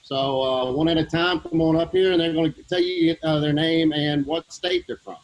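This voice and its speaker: A man with a Southern US accent, a team leader explaining his work to schoolchildren in a relaxed way with many fillers, aboard a ship. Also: on the high side, moderate pace, highs cut off. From Louisiana, USA. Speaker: underwater vehicle team leader. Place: operations room on a ship.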